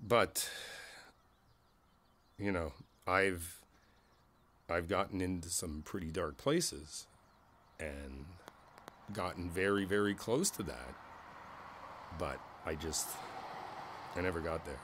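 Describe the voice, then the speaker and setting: A man playing a kindly older neighbor in an ASMR roleplay, reflective and candid, talking in a halting, conversational way and repeating his phrases. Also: low voice, fairly bright tone, slight background noise. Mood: somber, candid.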